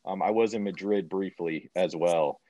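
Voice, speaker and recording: A man co-hosting a podcast, chatting casually in a halting way with many pauses and fillers. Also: low voice, mellow, no echo. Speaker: podcast co-host. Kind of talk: casual interview chat. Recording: dull lo-fi audio.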